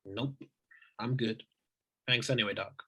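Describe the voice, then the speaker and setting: A man's voice: on the low side, measured pace, sharp tone, slightly rough, full character voice. A man playing a modest, affectionate young man in an understated, casual screenplay table read. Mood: politely refusing.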